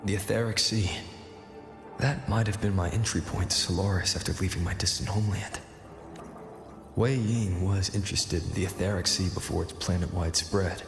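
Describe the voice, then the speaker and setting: An actor playing a thoughtful young male wanderer in a video game, speaking in a pensive, measured way. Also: low voice, fairly even intonation, vocal fry, music in the background. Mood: pensive, resolved. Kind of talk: scripted video game dialogue.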